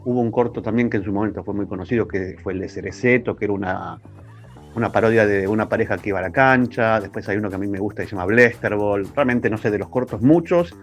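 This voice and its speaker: Argentine guy chatting in an interview, talks fast, faint music. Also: lowish voice. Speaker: radio scriptwriter. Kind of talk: casual interview reminiscence.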